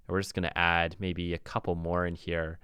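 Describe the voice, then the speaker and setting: Low, smooth-voiced man giving a tutorial. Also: flat delivery, fairly quick, pushed and bright, no echo, studio quality. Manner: informal, thinking aloud while demonstrating. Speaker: music production tutor. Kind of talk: casual software tutorial talk.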